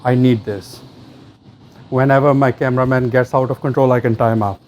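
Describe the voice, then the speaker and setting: A man with a soft, mellow voice hosts a casual vlog. He muses aloud in short, unscripted fragments at an ordinary male pitch. There is some light noise behind him. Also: fairly even intonation, fairly quick. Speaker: host of a storage locker treasure-hunt vlog. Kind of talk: casual unscripted commentary while sorting through finds.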